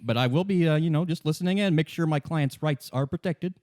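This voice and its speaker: Man playing a brisk female union lawyer. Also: on the high side, darkish tone, dry recording, studio quality, pitched above the performer's own voice, natural voice. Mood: brisk, firm. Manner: matter-of-fact, controlling.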